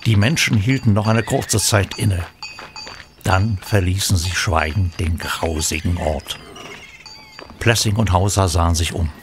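A man narrating a radio play from a script in a measured, sombre storytelling manner. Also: low voice. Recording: clear background noise.

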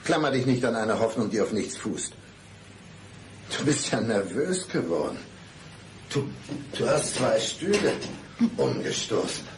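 An actor playing a calm, cold-blooded would-be killer in a radio play, measured, deliberate and coolly menacing. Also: male voice, medium pitch, noisy background.

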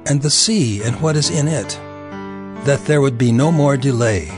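A male narrator with a medium-pitched voice reads scripture dramatically. His storytelling is solemn and deliberate, with loud music behind him.

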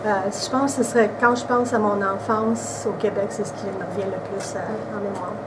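Interviewee: woman in her 40s, québécois accent, relaxed chat, distant camera mic, hissy room. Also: medium pitch, brisk. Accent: Québécois French. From Quebec, Canada. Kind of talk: casual interview answers. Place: indoors by a brick wall.

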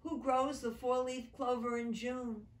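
A dreamy little field mouse poet from a storybook, voiced by a woman, gentle and shy, who speaks in soft, thoughtful tones and takes his time. His words carry a roomy echo. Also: on the high side, level tone, a little slow, pitched above the performer's own voice, close to an everyday voice. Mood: recited.

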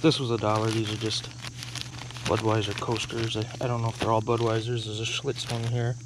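A man's voice: fairly low pitch, level tone, fairly quick. A middle-aged man outdoors by his car, casually talking through his haul as a reseller, thinking aloud and often unsure what things are worth. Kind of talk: casual vlog narration. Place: outdoors by a car trunk, rural. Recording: outdoor noise with insects.

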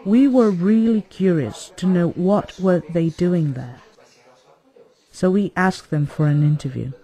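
A man's voice: high-pitched, a little slow, mellow. A man giving an earnest scripted documentary voice-over. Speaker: documentary narrator.

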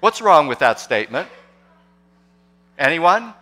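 Older pastor preaching in a reverberant church. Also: male voice, fairly high pitch, big pitch swings, takes it slowly, pushed and bright. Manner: hesitant, many fillers and restarts, asks the congregation questions. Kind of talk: sermon. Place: church sanctuary, large and indoors. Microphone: podium microphone on a gooseneck.

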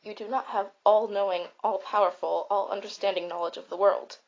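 A woman playing a caring but blunt, self-important robot in a comfort roleplay, speaking in a deliberate, declamatory way. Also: mid-range voice, fairly even intonation, lightly acted. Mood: earnest, reassuring. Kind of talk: comfort roleplay monologue with storytelling. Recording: slightly dull, raw home recording.

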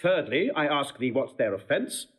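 An actor playing a commanding, quick-witted prince in classical Shakespearean audio drama. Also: male voice, medium pitch, sing-song, husky. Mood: wryly amused. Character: wry, commanding noble prince. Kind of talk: classical Shakespearean radio acting.

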